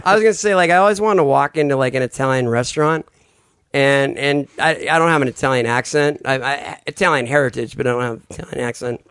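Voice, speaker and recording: A man's voice: mid-range voice, quick pace, fairly bright tone, clear, resonant tone, no echo, clean studio sound. A man on a podcast chatting casually, hesitant and often restarting his sentences. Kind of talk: casual comedy chat.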